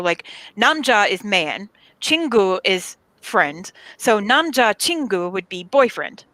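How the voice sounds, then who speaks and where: A chatty woman with a bright, forceful voice. She explains things at length in casual conversation and laughs often. The sound is close and dry. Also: medium pitch, no room sound, low-quality recording. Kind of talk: casual chat.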